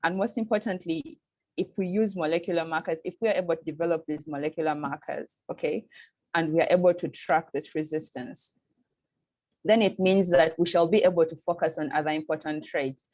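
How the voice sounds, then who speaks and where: A woman's voice: sits low, fairly quick, a little mellow, no echo. A young woman from eastern Uganda with a Ugandan English accent, a PhD student answering interview questions earnestly, restarting phrases and checking in with "okay?". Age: young adult.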